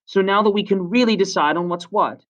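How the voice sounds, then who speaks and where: An indignant, earnest young boy, acted by a man, high in pitch and insistent. He reasons in plain colloquial speech in this storybook reading, a little distant and dull on the recording. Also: a little fast, fairly bright tone.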